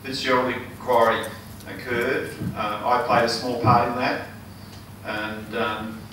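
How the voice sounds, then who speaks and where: An older Australian man with a hoarse, failing voice, giving an earnest campaign speech full of ums and uhs. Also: medium pitch, level tone, takes it slowly, bright, forceful tone. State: hoarse, losing his voice. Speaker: election candidate. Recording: echoey hall, mic far off, noisy.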